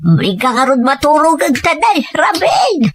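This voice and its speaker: A woman's voice: sits high, sing-song, a little slow, slight background noise. A woman playing an elderly grandmother in an audio drama, fretful and admonishing as she cautions her grandchild. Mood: worried, cautioning. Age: older.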